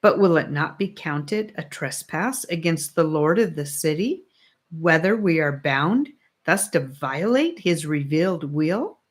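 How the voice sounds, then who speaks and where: A woman's voice: sits low, animated, a little slow. A woman voicing an earnest, pious male pilgrim in an audiobook, speaking solemn, exclamatory archaic English. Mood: solemn challenge.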